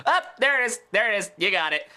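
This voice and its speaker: A man's voice: high-pitched, animated, brisk, pushed and bright, clean tone. A man delivering scripted review commentary with opinionated, sarcastic asides. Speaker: video reviewer.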